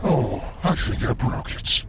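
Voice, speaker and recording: A man's voice: mid-range voice, big pitch swings, a little slow, background noise. An actor playing a sadistic, taunting, non-human armored space warrior who gloats over his victims. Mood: mocking. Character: sadistic mocking male Night Lord space warrior.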